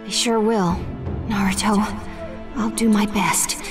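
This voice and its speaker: A woman voicing an earnest, caring young ninja assistant with a gentle manner in an anime game dub. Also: mid-range voice, fairly even intonation, slow pace, soft background music, lightly acted. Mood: concerned, resolute.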